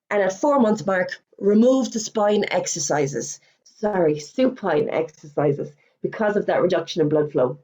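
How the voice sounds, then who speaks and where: A middle-aged woman with an Irish accent, a fitness instructor giving a webinar presentation, chatty, with quick asides and self-corrections, her voice going hoarse as she talks. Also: lowish voice, dark tone. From Ireland. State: losing her voice.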